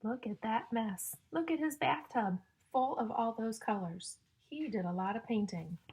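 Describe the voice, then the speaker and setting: A woman reading a picture book aloud to young children in a sing-song, exaggerated way, pausing to ask and explain like a teacher. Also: a little high, a little mellow, slightly rough. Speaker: children's story-time reader and teacher.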